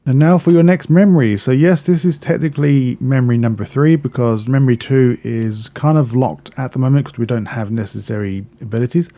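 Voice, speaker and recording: A man's voice: medium pitch, brisk, hardly any pauses, mellow. A man narrating a video game walkthrough in a casual, instructional way, explaining step by step and often repeating or correcting himself.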